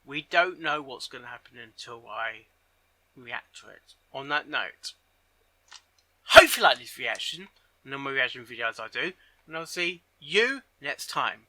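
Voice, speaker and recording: A man giving casual reaction commentary on a story, halting and often repeating his phrases as he goes. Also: mid-range voice, animated, sharp tone, dry recording. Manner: halting, repeats and rephrases himself. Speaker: reaction video host.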